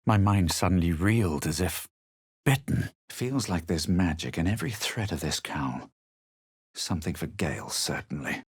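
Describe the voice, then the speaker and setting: An actor playing a haughty, self-assured adventurer in a fantasy video game, with a measured, dramatic and superior delivery. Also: male voice, low voice, lively intonation, husky, dry recording, studio quality. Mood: muttering, musing. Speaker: voice actor of a player character. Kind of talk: scripted video game character lines and narration.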